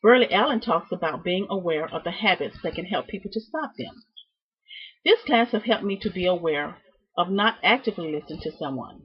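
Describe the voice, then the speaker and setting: A woman giving a prepared student presentation, reading from notes with deliberate, emphatic phrasing and halting repeats. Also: lowish voice, lively intonation, husky. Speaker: student presenting a class project. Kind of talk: prepared speech, read from notes. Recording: dull, muffled lo-fi sound.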